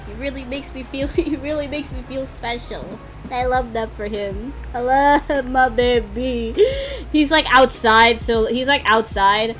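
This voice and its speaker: A giggly, animated woman chatting casually and in slang on a livestream while she plays a game, poking fun at herself. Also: a little high, measured pace. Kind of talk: casual livestream chat while playing a visual novel. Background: music.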